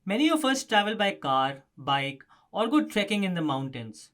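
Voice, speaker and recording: A man's voice: high voice, big pitch swings, normal speed, a bit bright. A man presenting a scripted explanatory news story as a narrator.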